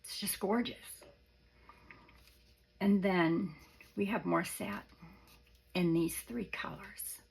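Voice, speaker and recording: Hoarse, slow-talking woman gushing softly. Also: medium pitch. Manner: soft-spoken, gushing, often drops to a whisper. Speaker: craft vlogger. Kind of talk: casual show-and-tell.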